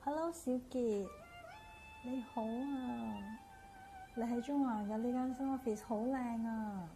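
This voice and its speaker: An actress playing a wistful, grieving counselling client in a conversational Cantonese radio drama, with a gentle manner and a Hong Kong accent. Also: female voice, on the high side, slow pace, rough recording. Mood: friendly, nostalgic. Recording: clear music behind the voice.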